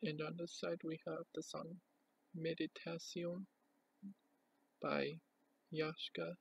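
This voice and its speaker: A man presenting items from a collection in an unscripted show-and-tell, with a plain, halting, itemising delivery. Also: fairly high pitch, little pitch movement, gravelly, husky, poor audio.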